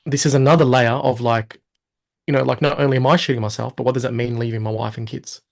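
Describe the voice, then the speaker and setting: A man's voice: medium pitch, fast, creaky. A man, a guest telling his story in a casual interview, acting out his own past thoughts. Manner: animated storyteller, quotes himself in lively asides.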